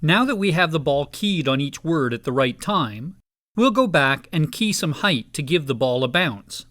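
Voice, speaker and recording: A man presenting a software tutorial in a scripted narration style. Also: fairly high pitch, expressive, normal speed.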